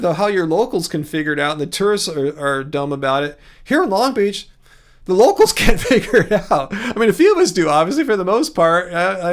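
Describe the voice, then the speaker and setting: A man with a Californian American accent hosting a casual livestream, rambling and easygoing as he replies to viewers. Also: high voice. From California, United States. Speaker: livestream host. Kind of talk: casual livestream chat, replying to viewer comments.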